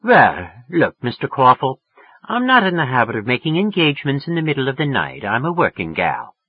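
A man's voice: medium pitch, huge pitch swings, brisk, husky, dry recording, narrow, tinny audio, pitched above the performer's own voice. A man voicing a brassy, slangy young woman in a story, with a raised, affected feminine voice. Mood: coyly wary. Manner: sharp, slangy, turning affectedly genteel. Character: brassy, irritable young working woman, formerly burlesque, turning coy.

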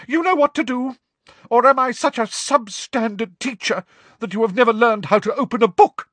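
A man voicing an overwrought, eccentric older female seer teacher, speaking in a high, throbbing, theatrical voice. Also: moderate pace, a bit bright, dry recording. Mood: hysterical.

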